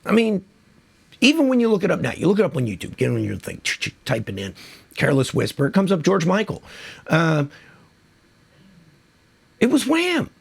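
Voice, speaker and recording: A man's voice: high voice, huge pitch swings, normal speed, creaky, pauses often, husky. A man who is losing his voice from a cold, hosting a casual music countdown in a chatty, enthusiastic, rambling way. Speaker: music video host.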